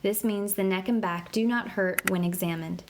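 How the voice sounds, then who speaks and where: A woman narrating an interactive children's book in a clear, explanatory way, slowing down to spell out medical terms. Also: medium pitch. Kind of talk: scripted read-aloud narration for kids. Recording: clear background noise.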